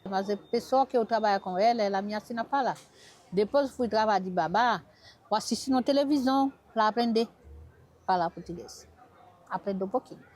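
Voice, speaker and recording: A woman's voice: medium pitch, brisk, darkish tone. Plain-spoken Haitian woman. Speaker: interviewee.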